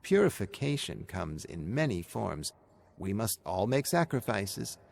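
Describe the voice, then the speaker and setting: A man's voice: lowish voice, very animated, measured pace, gravelly, exaggerated voice. A man voicing a deranged, zealous woman in an asylum, rambling conversationally and menacingly in a horror story. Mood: chillingly righteous. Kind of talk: horror audiobook character voice.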